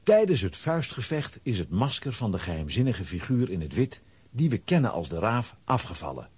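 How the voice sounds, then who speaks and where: A man's voice: mid-range voice, very animated, moderate pace, darkish tone, breathy edge, dry recording. A man narrating a children's audio drama in a dramatic, scripted storybook style.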